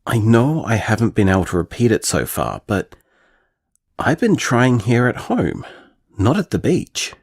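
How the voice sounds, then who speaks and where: A man's voice: medium pitch, very animated, a little slow, slightly rough, exaggerated voice. An actor playing an earnest, restless young man in a naturalistic audio drama, speaking hesitantly and reflectively as if leaving voicemails. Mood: hopeful, pensive.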